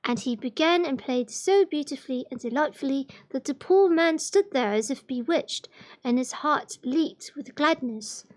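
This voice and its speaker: A woman reads fairy tales aloud for a livestream. Her voice sits high, and she tells the story in an expressive storybook way. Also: moderate pace.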